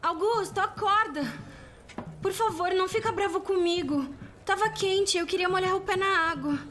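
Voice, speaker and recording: A woman's voice: very high voice, moderate pace, a bit bright, a little hiss or hum. A woman playing a young tavern girl in an audio drama, with a pleading, childlike way of talking. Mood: anxious pleading.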